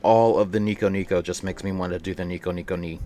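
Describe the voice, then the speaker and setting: A man's voice: sits low, steady tone, fast talker. A man livestreaming, casually chatting with viewers and reading aloud in a rambling, playful way that often repeats phrases. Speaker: live streamer.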